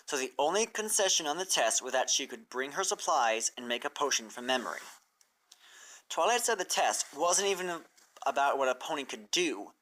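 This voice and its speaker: Story narrator, bright tone, very varied intonation, expressive read-aloud fiction. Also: medium pitch.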